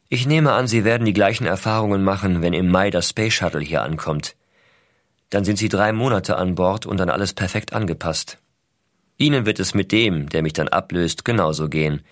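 A man playing an American astronaut father, reading letters to his small son in a measured, reflective way. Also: sits low, no echo, studio quality. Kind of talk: scripted reading of personal letters.

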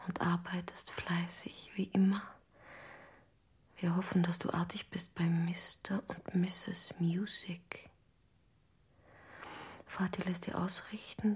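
Radio drama: loving, worried mother, hushed breathy whisper, slightly low pitch, letter to a child. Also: exaggerated voice. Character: anxious loving German mother writing to her child.

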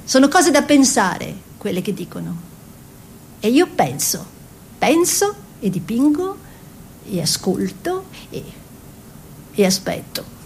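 An actress playing a young peasant woman painter, dreamy and stubborn, in an expressive theatrical delivery. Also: on the high side, slow, creaky, long pauses, a little hiss or hum, exaggerated voice. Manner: expressive, musing, emphatic repetitions. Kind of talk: theatrical monologue and dialogue.

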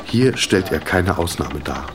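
A man narrating a radio drama in a sober, measured, detached manner, in short sentences. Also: sits low, expressive, recorded from a distance. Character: sober, detached male storyteller of a hospital tale.

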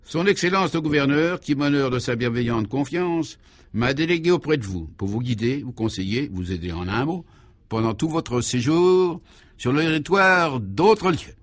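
A man's voice: mid-range voice, animated, normal speed, no echo, voice pushed up, natural voice. A man voicing a young, distinguished government attaché, formal, obliging and affected, in a comic radio serial.

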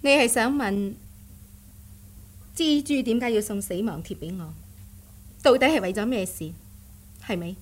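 High-voiced, stubborn stern aunt. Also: female voice, unhurried, long pauses. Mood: guarded. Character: guarded, stubborn aunt of the martial-arts world. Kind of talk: Cantonese radio drama acting.

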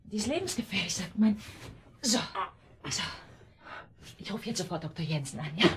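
An actress playing an outwardly caring, calculating wife in a German radio drama, speaking standard German. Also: female voice, medium pitch, expressive, normal speed, background noise, close to an everyday voice. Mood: solicitous. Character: outwardly solicitous, calculating wife of ailing husband.

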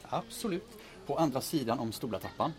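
A man's voice: medium pitch, sing-song, soft background music, lightly acted. A man playing a polite, discreet hotel receptionist in an audio drama, formal and chatty with guests. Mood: courteous, discreet. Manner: formal, courteous.

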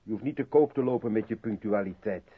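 A man's voice: fairly low pitch, fairly quick, breathy edge. An actor playing a moody, sardonic male writer in a radio play, with a terse, dry, needling manner. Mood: sullen. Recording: muffled, phone-like 1970s radio recording, hum.

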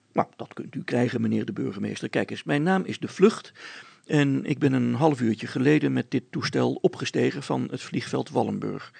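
A man voicing a calm helicopter pilot for a storybook, polite, patient and gently ironic. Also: medium pitch, creaky, husky, no room sound. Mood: friendly, explaining. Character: calm, courteous, cheeky male helicopter pilot.

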